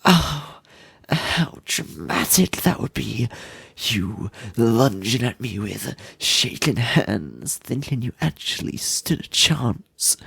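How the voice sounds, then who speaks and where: Unhinged, doting male kidnapper played by a man for ASMR roleplay: gravelly, close and dry, sadistic, sweetly menacing. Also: medium pitch, very animated, a bit bright, no room sound, exaggerated voice. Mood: gleeful, taunting. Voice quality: breathy, husky, often dropping into whisper. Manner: halting, drawn-out, sweetly menacing delivery.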